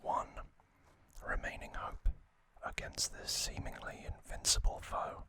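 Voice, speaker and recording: A man whispering slowly and deliberately in breathy, hushed short phrases, as an ASMR host narrating trivia.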